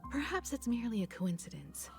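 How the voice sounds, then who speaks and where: A soft, composed woman who probes politely, as in a story-driven video game. Her pitch moves expressively, and music plays clearly behind her. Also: big pitch swings, brisk. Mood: calmly probing.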